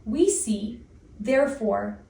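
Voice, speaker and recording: A woman reads scripture in a solemn, measured way. Her voice sits fairly high and moves very slowly, with clear room echo around it. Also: expressive, warm tone. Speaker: scripture reader. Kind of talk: solemn read-aloud of King James Bible scripture. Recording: echoey room, distant microphone.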